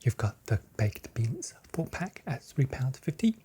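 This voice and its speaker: A person softly whispering a customer service role play for ASMR as a shop assistant, breathy and gentle, with playful, carefully phrased sales patter. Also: medium pitch, big pitch swings, unhurried.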